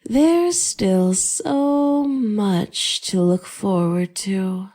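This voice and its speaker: A woman's voice: medium pitch, drawn-out words, warm tone. An actress playing a lovesick, obsessive young woman in a dramatic video game cutscene. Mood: calm, wistful, scheming. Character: lovesick, obsessive young woman who kidnaps her crush.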